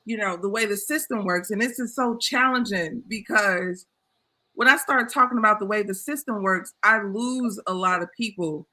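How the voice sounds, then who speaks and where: A woman's voice: mid-range voice, normal speed, pushed and bright. A woman talking passionately in a casual discussion, getting heated as she makes her points. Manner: passionate, impassioned.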